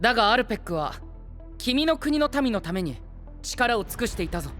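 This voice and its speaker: An actor playing a dramatic, dandyish young gentleman knight in an anime voice drama, theatrical and excitable. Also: sits high, brisk, faint music behind. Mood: indignant. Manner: theatrical, sprinkles English exclamations. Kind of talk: Japanese anime voice drama acting.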